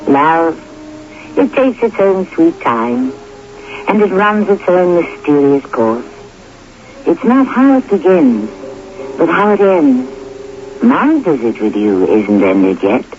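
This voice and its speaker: A man's voice: high voice, very animated, gravelly. Theatrical male radio mystery host. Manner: measured, philosophical, theatrical. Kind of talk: scripted radio drama narration. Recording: dull, noisy old radio broadcast.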